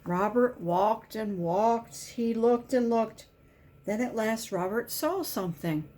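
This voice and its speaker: Female storybook reader, higher register, takes it very slowly, stresses key words for young listeners. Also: expressive, dark tone. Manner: simple, deliberate storytelling with emphasized key words. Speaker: volunteer children's book reader. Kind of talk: expressive picture-book read-aloud.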